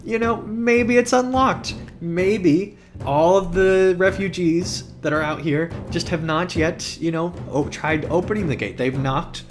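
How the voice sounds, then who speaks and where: A man's voice: measured pace, few pauses, music in the background. Rambling male let's play commentator. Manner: rambling, thinks aloud with fillers and self-corrections; voice sometimes jumps very high when joking. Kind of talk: casual game commentary, reading story text aloud.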